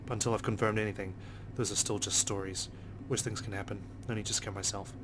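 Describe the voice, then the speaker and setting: A man's voice: lowish voice, little pitch movement, quick pace, background noise. A man reading a visual novel aloud in the first person with a dry, sardonic delivery. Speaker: let's play narrator.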